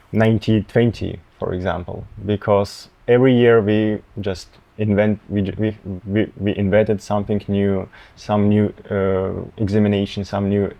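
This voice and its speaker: A young man in his twenties with a Russian accent, a medical student answering interview questions haltingly, often pausing to find words. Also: lowish voice, vocal fry, dark tone, low-quality recording. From Tatarstan, Russia. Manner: halting, searching for words, many fillers.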